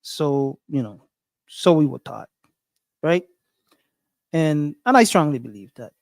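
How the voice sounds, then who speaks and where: A man's voice: on the high side, expressive, takes it slowly, creaky, darkish tone, no echo. A man with a Guyanese accent hosting a casual livestream, thinking aloud with many fillers and restarts. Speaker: livestream host.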